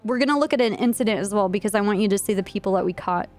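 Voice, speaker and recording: A woman's voice: mid-range voice, rapid-fire, a little mellow. Woman leading an in-game briefing, faint music. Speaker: streamer playing a police trooper in online roleplay, leading a meeting. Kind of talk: improvised in-character briefing, casual with fillers.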